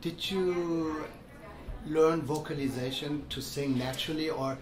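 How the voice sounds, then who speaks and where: A man interviewing a musician, asking halting questions with frequent restarts. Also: fairly high pitch, roomy sound, a crowd in the background, low-quality recording. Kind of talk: casual interview. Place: music club after a show.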